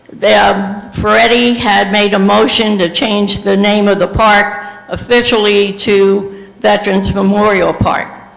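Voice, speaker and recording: Older man, resident at public comment, high gravelly voice, halting delivery full of fillers, hand mic in a reverberant hall. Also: fairly bright tone. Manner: halting, many fillers, reads off dates. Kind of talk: public comment at a board workshop. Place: meeting hall. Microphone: handheld microphone. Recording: echoey hall, long reverb.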